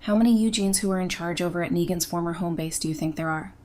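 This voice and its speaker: A woman's voice: on the low side, quick pace, a little mellow, natural voice. A woman playing a wry, casual young-sounding teacher. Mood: wry, teasing. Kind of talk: fan audio drama dialogue.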